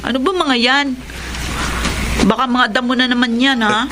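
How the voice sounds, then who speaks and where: A woman's voice: on the high side, steady tone, moderate pace, noisy background, close to an everyday voice. A woman playing an impatient young student daughter in a radio drama, ashamed of her poor father. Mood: irritated. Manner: impatient, scolding. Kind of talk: Tagalog radio drama acting.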